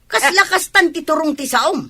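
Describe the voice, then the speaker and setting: A woman's voice: sits high, normal speed, bright, forceful tone. A woman playing a sceptical, defensive middle-aged mother of a young athlete in a radio drama, with an Ilocano accent.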